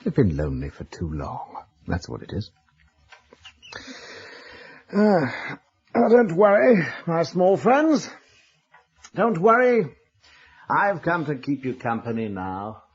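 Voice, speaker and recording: A man's voice: a little high, wildly swooping pitch, slow, pauses often, slightly rough, highs cut off, exaggerated voice. An actor playing a genteel, self-assured male scholar who muses aloud in a radio drama. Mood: amused, soothing. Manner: musing aloud, exclaiming and chuckling.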